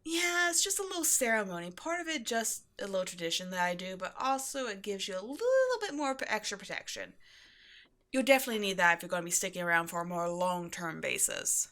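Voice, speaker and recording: A woman's voice: mid-range voice, lively intonation, fast talker, bright, forceful tone. A woman acts out an upbeat, quirky keeper of a mysterious occult shop. She chats in a breezy, casual way, as if to a new employee in an ASMR roleplay. Eerie matters get the same offhand, friendly treatment as everyday ones. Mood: breezy, reassuring. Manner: chatty, breezy, offhand about eerie things. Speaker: shop owner character speaking to a new employee. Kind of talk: ASMR roleplay, casual one-sided conversation.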